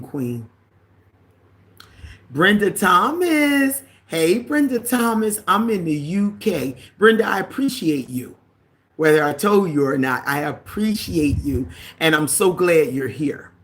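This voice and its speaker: A woman with a deep voice hosts a casual livestream. She greets viewers by name, reads their comments aloud, and drifts into preachy asides. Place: living in the Caribbean.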